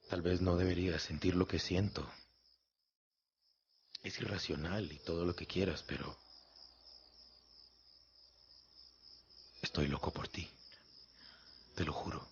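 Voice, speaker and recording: An actor playing an ardent man madly in love, breathy and intimate, in a romantic radio drama. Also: low voice, steady tone, normal speed, long pauses, lo-fi sound, a little hiss or hum. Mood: tender, pleading.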